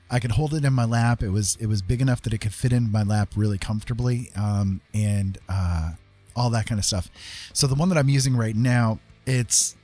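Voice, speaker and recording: A man's voice: fairly low pitch, darkish tone. A man chatting casually as he streams a painting session, thinking aloud in a hesitant, rambling way. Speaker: streamer giving art tutorials. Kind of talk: casual livestream chat while painting.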